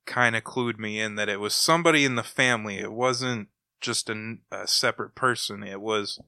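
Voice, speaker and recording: A man's voice: on the low side, moderate pace, vocal fry, sharp tone, clear, resonant tone, dry recording, studio quality. A man chatting casually as a podcast co-host. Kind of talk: casual chat.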